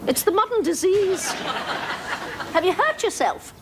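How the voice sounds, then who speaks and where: High, swooping snobbish English suburban wife, affected and bossy, hissy old TV sound. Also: female voice, sing-song, a little slow, full character voice. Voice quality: affected, plummy, domineering. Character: snobbish, pretentious middle-aged suburban housewife. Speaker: sitcom character.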